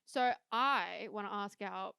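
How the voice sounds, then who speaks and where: A young woman talks through a casual podcast chat, full of fillers and offhand swearing. Her voice is smooth and clear, recorded close and dry with no room sound. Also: medium pitch, slow pace, sharp tone, no echo. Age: young adult. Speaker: podcast co-host.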